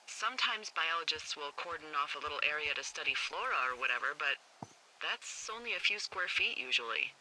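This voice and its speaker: A woman's voice: deep voice, lively intonation, brisk, pushed and bright, exaggerated voice, low-quality recording. A woman playing a wry, profane fire lookout supervisor over a two-way radio, in natural, conversational video game acting with stammered repetitions. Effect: two-way radio filter. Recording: walkie-talkie sound with faint noise.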